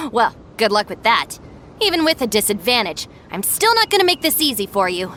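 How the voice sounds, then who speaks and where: A no-nonsense young second-in-command in a video game, a little high in pitch. She admonishes dryly with expressive rises and falls, over a faint low rumble. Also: moderate pace. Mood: exasperated, confident. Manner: matter-of-fact, admonishing. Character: sensible, stern young female deputy, dry and exasperated. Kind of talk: animated video game voice acting.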